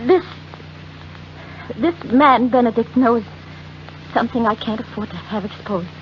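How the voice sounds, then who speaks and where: An actress playing a young, wealthy wife in an earnest, melodramatic 1940s radio drama style. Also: female voice, fairly high pitch, animated. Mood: distressed, pleading. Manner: earnest, melodramatic, halting under strain. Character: young wealthy wife, affectionate but anxious and secretive. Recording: muffled, hissy old radio recording.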